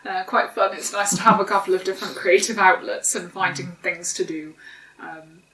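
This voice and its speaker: A woman's voice: lowish voice, steady tone, moderate pace, sharp tone, reverberant, distant mic, slight background noise, poor audio. Sniffly woman chatting on a sofa. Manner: hesitant, frequent ums. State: sniffly from hay fever. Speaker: co-host. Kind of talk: casual chat. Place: home living room sofa.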